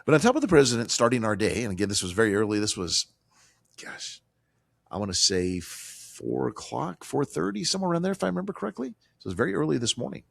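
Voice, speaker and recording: A man hosting a financial talk radio show, hoarse from a cold, talking emphatically with fillers and repeated phrases. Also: mid-range voice, sing-song, quick pace, dry recording. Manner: emphatic, repetitive, conversational with fillers.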